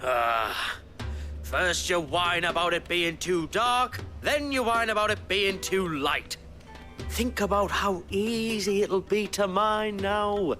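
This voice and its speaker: A blustering boss of scavenging merchants in a fantasy video game. His voice is high and forceful, with a slow delivery. He is coarse and scornful, over faint music. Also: heavily acted. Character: gruff, bossy male chief of scavenging merchants.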